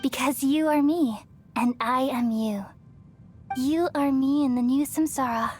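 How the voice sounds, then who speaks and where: A woman voicing a serene, wise goddess in a young girl's form, solemn and calm, in an English anime game dub. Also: sits high, unhurried, faint music behind.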